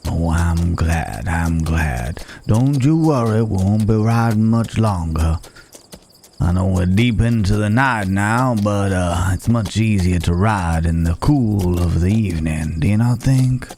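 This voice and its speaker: A man playing a rugged, wandering cowboy stranger in an intimate ASMR roleplay, with folksy old-West phrasing, a low gravelly voice and hesitant pauses, gently teasing and caring. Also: lively intonation, slight background noise. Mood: gentle, reassuring. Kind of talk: ASMR roleplay, intimate acted monologue to the listener.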